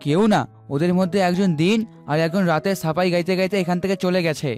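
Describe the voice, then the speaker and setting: High-voiced proud celestial cartoon figure played by a man. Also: steady tone, quick pace, darkish tone, faint music behind, natural voice. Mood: boastful bickering. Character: talking sun and moon, proud, bickering sky brothers. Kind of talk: cartoon fable dialogue.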